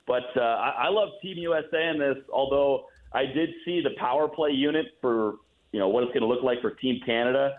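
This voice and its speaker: A man's voice: medium pitch, fairly quick. A young man, a hockey announcer, chatting casually as a guest over a narrow phone connection. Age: young adult. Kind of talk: casual sports interview chat. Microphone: phone call. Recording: narrow tinny phone line.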